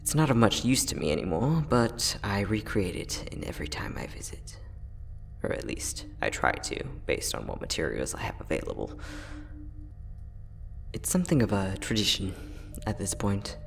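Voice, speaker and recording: A man playing a friendly, teasing time-traveling inventor, soft-spoken and chatty in an ASMR roleplay audio drama. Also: on the low side, sing-song, normal speed, gravelly, soft background music. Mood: eager, proud. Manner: soft-spoken, chatty, playful, with frequent chuckles.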